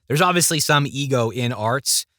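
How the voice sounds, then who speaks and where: A man's voice: mid-range voice, normal speed, bright, forceful tone, no echo, clean studio sound. A man in his thirties speaking as an interview guest in a casual, reflective, conversational way. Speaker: podcast guest, voice actor.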